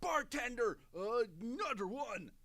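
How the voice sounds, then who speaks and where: A man's voice: extremely high pitch, lively intonation, unhurried, a bit bright, no echo, pitched above the performer's own voice, exaggerated voice. A man voicing a drunk, blustering wannabe Sith Lord for an audio drama, slurring and stumbling boisterously over his words. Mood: exuberant, drunk. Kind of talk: audio drama voice acting, multiple takes.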